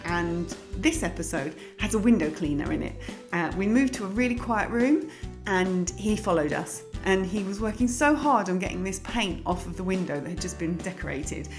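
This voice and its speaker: Podcast host, woman, bubbly and complimentary, faint music underneath. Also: medium pitch. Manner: upbeat, effusive, quick to compliment. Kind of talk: casual interview chat.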